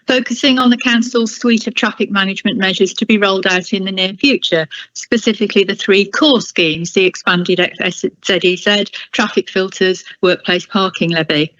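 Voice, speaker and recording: A woman delivering a formal prepared address at a council meeting in a deliberate, critical and emphatic manner. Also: medium pitch, fairly bright tone, no room sound, highs cut off.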